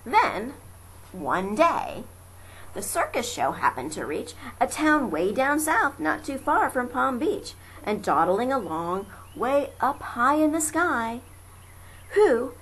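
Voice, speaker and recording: Female amateur storybook reader: highish voice, unhurried dramatic verse narration, lightly noisy home recording. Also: a bit bright. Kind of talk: expressive children's verse narration. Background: faint ambient noise.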